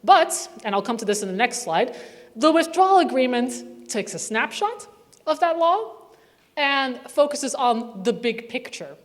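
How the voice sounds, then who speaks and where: A woman's voice: high-pitched, big pitch swings, unhurried. A woman researcher presenting a report at a conference, conversational and wry with asides. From not from Northern Ireland. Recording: reverberant hall sound.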